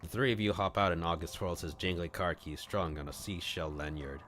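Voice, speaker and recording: A man's voice: deep voice, steady tone, fairly quick, a bit bright. A man streaming a read-aloud of a visual novel, narrating it as a storyteller. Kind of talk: storytelling narration with acted dialogue.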